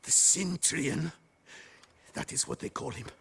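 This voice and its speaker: A man playing a cowed, frightened bandit underling in a video game, with a raspy voice and halting, pleading delivery. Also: on the high side, fairly bright tone, full character voice. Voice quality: raspy, creaky.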